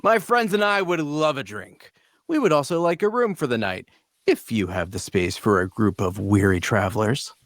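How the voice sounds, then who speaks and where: A man playing a charming, smooth-talking leader of adventurers, flattering and persuasive, in a fantasy audio drama table read. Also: medium pitch, normal speed, dry recording, close to an everyday voice. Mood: charming, upbeat. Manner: flattering, theatrically polite, persuasive.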